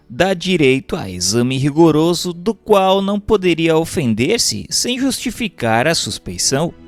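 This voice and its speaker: A man with a Brazilian accent reading a doctrinal text aloud as a narrator, in a formal, didactic way. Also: a little high. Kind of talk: scripted audiobook-style narration of a doctrinal text.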